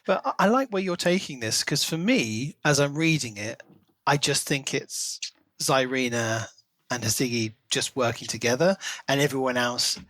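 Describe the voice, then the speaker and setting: A man's voice: medium pitch, expressive, moderate pace, fairly bright tone, dry recording. A man co-hosting a podcast, talking through a book in a casual, thoughtful and analytical way. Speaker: podcast co-host.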